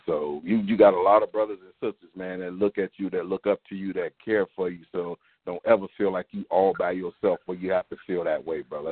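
A man's voice: deep voice, no echo. A man talking casually and earnestly on a radio show, in a brotherly, encouraging way. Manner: earnest, brotherly, repeats "man" and "you know". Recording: muffled dry call-in radio line.

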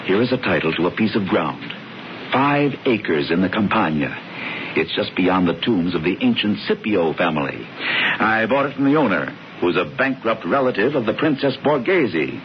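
A self-assured, scheming older brother coaxes with a lively, rising and falling delivery. The muffled old radio broadcast has a faint hiss behind it. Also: male voice, fairly low pitch, moderate pace. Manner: coaxing, assured. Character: scheming confident older brother, smooth talker. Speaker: brother George. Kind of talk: 1970s radio drama acting.

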